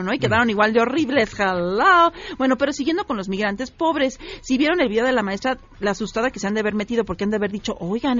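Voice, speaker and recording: A woman's voice: on the high side, highs cut off. A woman playing a posh, self-absorbed young woman from Mexico City, chattering in a valley-girl drawl with English catchwords and Mexico City slang. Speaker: comic character on a radio show.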